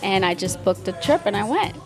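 A woman with a Dominican accent recounts her travels in a relaxed, chatty way. She speaks frankly as a podcast guest. Music plays in the background of the bar. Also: medium pitch, fairly quick. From Dominican Republic. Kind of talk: casual storytelling.

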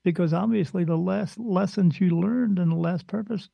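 A man's voice: high voice, moderate pace, creaky, no echo, lo-fi sound. A man who works as a spirit medium, talking casually on a podcast in a blunt, rambling way and slipping into other people's voices.

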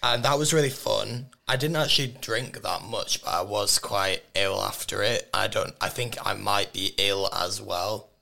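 A young man hosting a casual radio chat show from a studio, his voice hoarse and worn, teasing and laughing often. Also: fairly low pitch, steady tone, moderate pace, hardly any pauses, pushed and bright. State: hoarse, lost voice. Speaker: radio host. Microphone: studio microphone.

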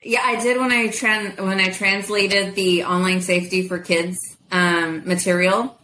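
A woman's voice: medium pitch, flat delivery, unhurried, sharp tone, a little hiss or hum, rough recording. A woman whose first language is Spanish, chatting as a guest in an interview with many fillers and easy laughter. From United States. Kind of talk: casual interview.

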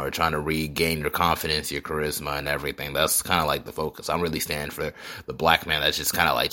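A young man in his twenties chats on his podcast in a very low voice with a bright, forceful edge. He talks earnestly and reflectively, leaning on "like" and "you know" as he goes. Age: 20s. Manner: reflective, earnest, many fillers. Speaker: podcast host and rapper. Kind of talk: casual chat.

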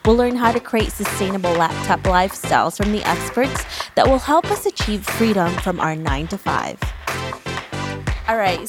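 A woman hosting a podcast, moving between scripted introductions and upbeat interview chat. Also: a little high. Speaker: podcast host.

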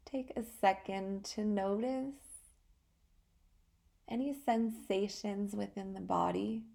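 Slow, mellow woman guiding meditation. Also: mid-range voice, gravelly. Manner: calm, soothing, with long pauses between short phrases. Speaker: guided meditation podcast host. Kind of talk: guided relaxation meditation.

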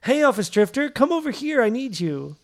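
A woman's voice: on the high side, big pitch swings, a little fast, pitched above the performer's own voice. A woman playing an eager, picked-on nerd kid in an office comedy table read. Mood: pleading. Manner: eager, pleading, awestruck.

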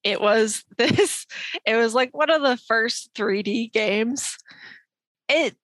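A woman in her late twenties, a guest on a video call interview, relaxed and quick to laugh. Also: a little high, no room sound. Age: 20s.

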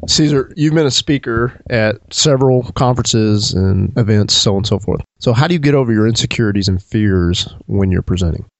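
A man's voice: on the low side, fairly quick, hardly any pauses, no room sound. A man co-hosting a podcast, asking questions in a playful, teasing way. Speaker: podcast co-host. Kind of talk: casual interview talk.

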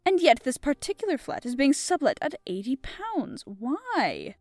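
Formal fussy old detective played by a woman, deliberate delivery, lively pitch, dry recording. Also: no room sound, heavily acted. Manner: deliberate and formal, attempting a French accent. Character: fussy, precise older male Belgian detective.

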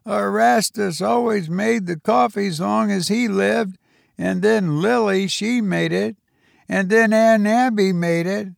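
Male reader as childish self-pitying woman, naive, plaintive, raised pitch, storybook character. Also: slow, vocal fry, no room sound, clean studio sound, heavily acted. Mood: astonished, helpless. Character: helpless, childish, self-pitying young woman, innocent and aggrieved.

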